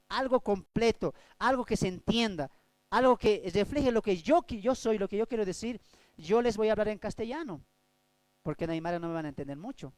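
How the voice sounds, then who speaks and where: Male pastor, high voice, dry close sound, preaching loud then soft. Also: dry recording. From Bolivia. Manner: preaching with sharp swings between loud and soft. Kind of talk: Bible study sermon.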